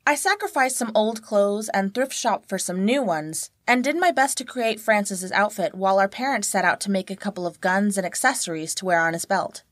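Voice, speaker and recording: Lady reading a story, really dry studio sound, normal mid pitch. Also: lively intonation, a little fast, hardly any pauses, dry recording. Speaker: guest narrator. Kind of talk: first-person scripted story narration.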